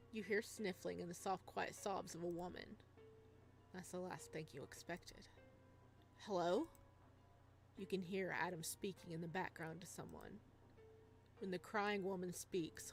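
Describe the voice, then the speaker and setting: Expressive woman reading a visual novel. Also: on the low side, creaky. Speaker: game streamer. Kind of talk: expressive visual novel read-aloud with casual commentary.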